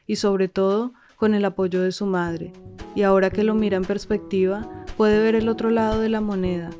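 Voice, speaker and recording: A woman narrating a documentary-style podcast in a measured, scripted way. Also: mid-range voice, soft background music. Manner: measured, even delivery.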